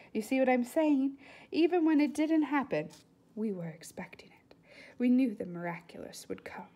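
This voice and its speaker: A woman voicing an elderly foreign woman doctor in a storybook, warm and philosophical, with emphatic, oddly formal phrasing. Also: on the high side. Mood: hopeful. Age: older.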